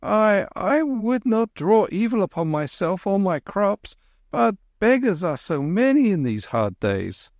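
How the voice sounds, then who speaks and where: A man voicing a blustering, angry male market gardener who shouts at strangers. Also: on the high side, animated, unhurried, dry recording, pitched above the performer's own voice. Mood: flustered.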